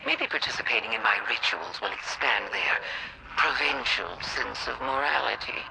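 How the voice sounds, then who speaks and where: An actor playing a cool, unrepentant schemer in a measured, dryly condescending game monologue. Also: male voice, mid-range voice, vocal fry, heavy background noise, close to an everyday voice. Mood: musing, disdainful.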